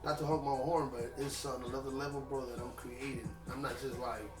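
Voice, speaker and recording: Fast-talking rapper, loose and emphatic. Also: male voice, mid-range voice, steady tone. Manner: fast, loose and emphatic, peppered with slang and swearing. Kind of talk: casual interview chat. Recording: echoey room with music behind, mic at a distance.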